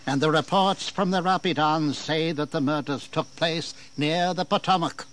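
An actor playing an indignant, authoritative colonial governor with bitter sarcasm and an oratorical, emphatic delivery in a historical audio drama. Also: male voice, on the high side, normal speed, fairly bright tone, natural voice. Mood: grave. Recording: 1980s audio drama with background noise.

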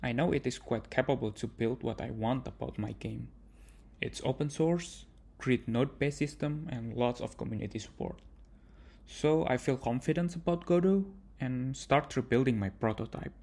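A man's voice: mid-range voice, moderate pace, vocal fry, a little mellow. A young man narrating his own game devlog in a plain, earnest way, with some non-native phrasing. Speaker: indie game developer.

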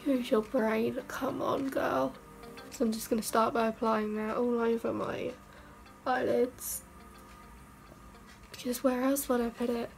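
A young woman, a beauty vlogger, chatting casually through a makeup test in her bedroom; she sounds croaky and stuffed up from a cold and rambles with self-mocking asides. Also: on the high side, flat delivery, measured pace, soft background music. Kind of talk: casual makeup tutorial chat. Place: bedroom, indoors. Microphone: camera mic at arm's length.

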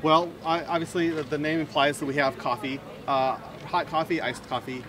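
A man's voice: on the high side, fairly even intonation, normal speed. A man who runs a coffee and barbecue stall, talking about his small business with frequent fillers. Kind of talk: interview answers. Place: outdoor market stall. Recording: distant mic, outdoor noise.

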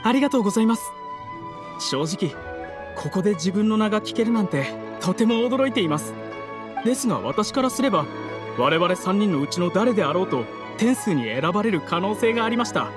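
A polite, modest young scholar, formal and courteous. His intonation moves a good deal, and music plays clearly behind him in the game. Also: high-pitched, animated, brisk. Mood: polite, humble. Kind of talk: Japanese game voice acting.

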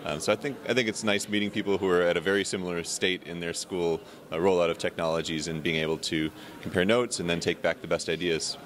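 Very deep-voiced man describing discussions. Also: fairly even intonation, fast, fairly bright tone, slight background noise. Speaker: conference attendee. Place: indoor hotel conference lobby. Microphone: handheld interviewer mic.

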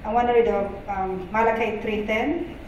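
A middle-aged woman with a Filipino accent giving an earnest, halting church testimony with scripture. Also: mid-range voice, fairly even intonation, a little mellow, muffled recording. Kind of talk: personal testimony and scripture reading. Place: church auditorium stage. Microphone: handheld microphone through PA, far camera. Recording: echoey hall PA, distant, faint noise.

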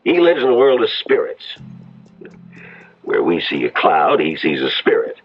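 A man's voice: low voice, huge pitch swings, moderate pace, gravelly, soft background music, heavily acted. An American actor playing a folksy, cautious Western townsman, plainspoken and drawling, in a 1970s radio drama. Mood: grave. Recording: muffled old radio broadcast.

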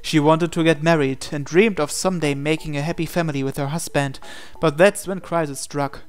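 A man reading a visual novel aloud as expressive narration, in a measured, dramatic way. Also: fairly high pitch, smooth. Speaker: gaming channel host reading aloud. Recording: voice over game music. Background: game music.

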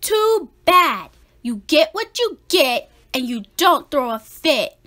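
A woman's voice: high voice, very animated, takes it slowly, pushed and bright, pitched above the performer's own voice, exaggerated voice. A woman voicing a young, easily frustrated squirrel boy in a storybook, protesting with emphasis. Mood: indignant.

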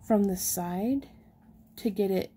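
A chatty woman, showing handmade stones in a casual shop update, talking softly and excitedly over the camera. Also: medium pitch, slow pace, a little hiss or hum. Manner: chatty and excitable, drops into soft whispers over pretty stones. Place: indoors at a craft table.

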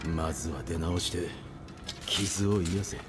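A man's voice: low-pitched, slow, loud music behind the voice. A man playing an imperious, proud swordsman in a Japanese video game, terse and stern. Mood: cold, dismissive. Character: cold, proud male swordsman, imperious rival brother.

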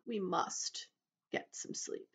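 Woman doing a slow, dignified old grasshopper. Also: fairly bright tone. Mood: weary, firm. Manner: slow, stern, weary. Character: old, dignified, scornful male green grasshopper.

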